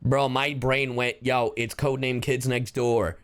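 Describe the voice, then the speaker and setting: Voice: medium pitch, little pitch movement, unhurried, clear, resonant tone. A game streamer giving casual livestream commentary in a playful, slangy, joking way, with some swearing.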